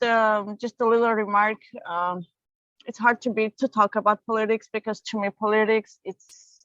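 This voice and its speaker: A woman's voice: medium pitch, dry recording, lo-fi sound. A woman on a panel, answering hesitantly and searching for her words.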